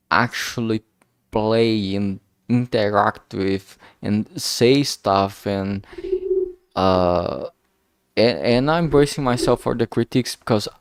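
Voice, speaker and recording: A man talking casually and reflectively on a solo stream, halting often as he searches for words. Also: fairly low pitch, a little mellow, clear, resonant tone, no room sound, studio quality. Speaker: indie game developer streaming.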